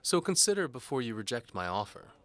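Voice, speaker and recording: A man's voice: mid-range voice, very animated, fairly quick, a bit bright, pitched above the performer's own voice. A man playing a zealous, pompous older medieval bishop who speaks in a didactic, commanding way in an audio drama. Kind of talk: audio drama character acting.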